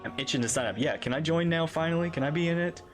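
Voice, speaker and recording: Very low-voiced woman reacting to a game. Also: rapid-fire. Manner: joking, talks back to the game. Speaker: reaction streamer. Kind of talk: casual game reaction commentary. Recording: game music behind the voice.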